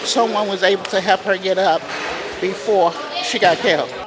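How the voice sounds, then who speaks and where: A man's voice: high voice, normal speed, a crowd in the background. A man giving unscripted interview answers as a local resident, on a noisy recording.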